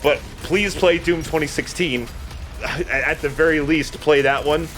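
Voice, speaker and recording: A man's voice: a little high, a little slow, a bit bright, soft background music, low-quality recording. A man giving casual, chatty, self-deprecating commentary while he plays a video game as host. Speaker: let's play host playing the game.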